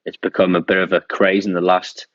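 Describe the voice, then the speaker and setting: Male guest in his 30s, very low voice, muffled dry remote line, easygoing with fillers. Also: brisk, no echo. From North Wales. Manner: relaxed, hesitant with many ums. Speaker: guest researcher. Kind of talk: conversational interview. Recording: dull dry remote audio.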